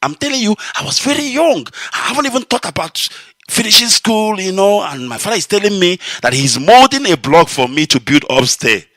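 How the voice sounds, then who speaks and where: A man, an Igbo speaker at heart, telling a story from his childhood on a live broadcast. His voice jumps high whenever he gets excited and drops low when he turns reflective. The tone is bright and forward, recorded close and dry with no room around it. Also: a little fast, no echo. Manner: animated, emotional, swinging high when excited. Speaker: radio broadcast host.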